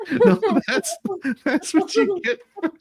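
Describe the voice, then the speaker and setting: A woman's voice: extremely high pitch, a little mellow, no echo, clean studio sound. A woman hosting a casual comedic livestream chat, often laughing through her words. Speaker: livestream host.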